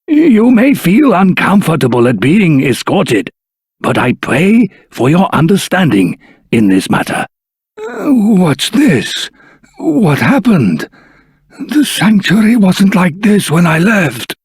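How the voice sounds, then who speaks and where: An actor voicing a dignified elder of a dragon race in human form, speaking in a formal, courteous, earnest and husky voice for a fantasy video game. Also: male voice, high-pitched, huge pitch swings, slow pace, dry recording, clean studio sound, full character voice. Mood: courteous, grateful, then alarmed.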